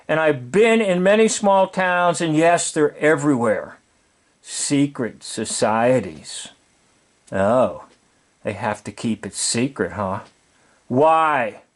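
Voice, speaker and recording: An older man with an American accent giving informal, opinionated commentary in a sardonic, deliberate way, full of rhetorical questions. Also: a little high.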